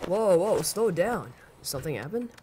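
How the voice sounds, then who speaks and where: A man's voice: high-pitched, very animated, normal speed, dark tone, slight background noise. A man playing a confident, thrill-seeking crime syndicate boss in an audio drama, with a casual but commanding manner. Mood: stern. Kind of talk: audio roleplay drama acting.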